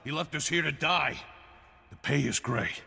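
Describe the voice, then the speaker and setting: An actor playing a rough hired thug and former pirate in a video game, talking in a colloquial, grumbling way. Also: male voice, on the high side, lively intonation, moderate pace, sharp tone, in a large hall, exaggerated voice. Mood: cowed, eager.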